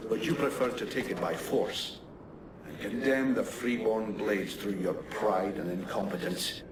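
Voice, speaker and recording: A man's voice: fairly low pitch, fairly even intonation, unhurried, lightly acted. Man playing a stern, echoing mercenary. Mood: bitter. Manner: accusing, reproachful. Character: stern, disapproving male mercenary, blunt and scornful. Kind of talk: dramatic game cutscene acting. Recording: echoey, distant, noisy ambience.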